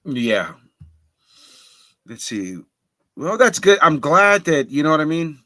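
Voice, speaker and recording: A man's voice: a little high, big pitch swings, slow, a bit bright, no echo, studio quality. A man from Los Angeles talking candidly on a livestream panel, slangy and full of restarts. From Los Angeles, United States. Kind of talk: casual chat.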